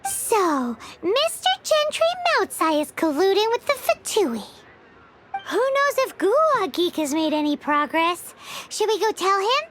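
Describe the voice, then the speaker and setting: A woman voicing a tiny, childlike, cheeky fairy companion in a video game, blunt and teasing, with a squeaky voice. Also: sing-song, measured pace, noisy background, heavily acted. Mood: skeptical, teasing. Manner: speaks of herself in third person, blunt, teasing. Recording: music loud behind the voice.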